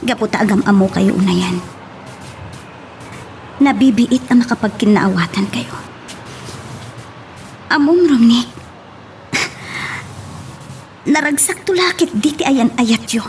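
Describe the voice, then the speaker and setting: A woman's voice: fairly high pitch, a little slow, pauses often, a little mellow, slight background noise. An actress playing an earnest, emotional young woman caught up in romance in an Ilocano radio love drama. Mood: advising, earnest. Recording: music behind the voice.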